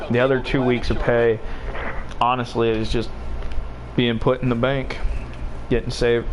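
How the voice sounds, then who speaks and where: A 19-year-old man streaming a video game, chatting casually and candidly and cursing freely, with a voice deeper than most people his age. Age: 19, late teens. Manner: laid-back, candid, swears often. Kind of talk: casual rambling chat over gameplay. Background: game music and sounds.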